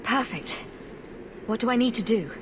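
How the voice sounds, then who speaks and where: An actress playing a resolute, civil young adventurer in a video game, speaking in a direct, questioning way. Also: female voice, fairly high pitch, lively intonation, normal speed, background noise, close to an everyday voice. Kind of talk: dramatic video game acting.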